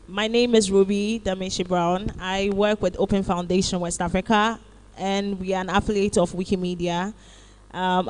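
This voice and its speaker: A woman's voice: medium pitch, a little mellow. Woman introducing herself at a live event. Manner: friendly, with hesitant "um" fillers. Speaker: foundation representative.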